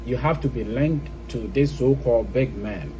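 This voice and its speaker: A man's voice: mid-range voice, moderate pace, noisy background. A man with a Ghanaian accent giving his opinion in a casual, explanatory vlog style, often checking in with the listener. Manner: explanatory, often checks in with "okay?" and "you know". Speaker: vlogger. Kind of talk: casual opinion talk to camera.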